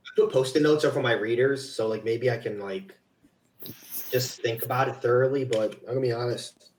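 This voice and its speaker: A man's voice: medium pitch, a little fast, darkish tone, a little hiss or hum. A man chatting casually in a rambling, self-deprecating way, like an amateur voice actor before a stream. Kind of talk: casual chat before a livestream. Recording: roomy, echoey home recording.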